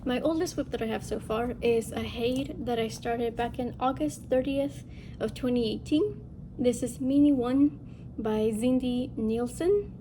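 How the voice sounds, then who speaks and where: Noticeable background noise sits behind a woman with a fairly high voice. She sounds nasal and stuffed up, as someone recovering from illness does. She talks informally and ramblingly to her viewers, hosting a craft show-and-tell and often correcting herself as she goes. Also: measured pace, hardly any pauses. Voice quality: nasal, congested. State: getting over a respiratory illness. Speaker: host of a cross-stitch video channel.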